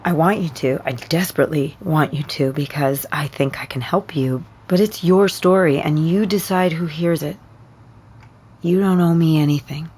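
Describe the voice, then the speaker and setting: A woman playing a caring, steady counselor with dry humor, speaking in a measured, gently probing way in an audio drama. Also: low voice, slightly rough. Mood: earnest, reassuring. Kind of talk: naturalistic audio drama acting.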